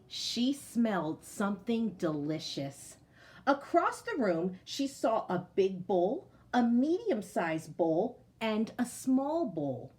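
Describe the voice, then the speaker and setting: A woman's voice: medium pitch, darkish tone. A woman reading a picture book aloud to children, as a teacher. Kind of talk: storybook read-aloud.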